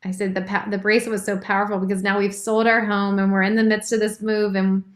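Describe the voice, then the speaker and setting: A woman's voice: medium pitch, flat delivery, fast talker. Reflective woman guest, roomy echo. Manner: reflective, thinks aloud with fillers. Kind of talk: casual conversation. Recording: roomy, echoey sound.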